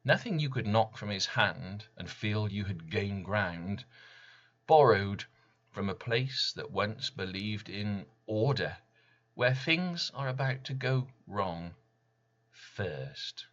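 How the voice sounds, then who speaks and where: A man giving a dramatic reading of his own poem in solemn, deliberate short phrases, with slightly muffled sound. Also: fairly low pitch, lively intonation, a bit bright. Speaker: poet reading own work. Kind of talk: dramatic poetry recital.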